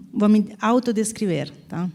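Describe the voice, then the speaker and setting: A woman in her fifties from Italy with a strong Italian accent, speaking on a panel as a corporate people director, drifting between languages and often clearing her throat. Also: medium pitch, dark tone. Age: 50s. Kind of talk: panel talk.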